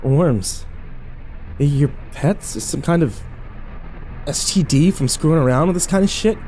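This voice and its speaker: A man playing an overwhelmed, foul-mouthed young man who narrates his ordeal haltingly in a horror audio drama. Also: a little high, mellow, slight background noise, natural voice. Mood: demanding. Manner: halting, self-interrupting, swearing, incredulous.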